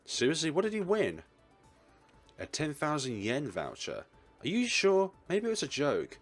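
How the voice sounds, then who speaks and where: A man's voice: on the high side, wildly swooping pitch, moderate pace, soft background music. A man doing a let's play, casually reading visual novel narration and dialogue aloud with a teasing touch.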